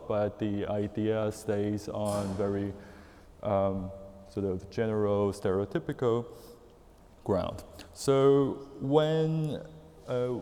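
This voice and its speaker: A man's voice: fairly low pitch, mellow, big-room sound. A man from Taiwan, a postdoctoral researcher, speaking on a panel in a hesitant way with many fillers. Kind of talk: academic panel talk.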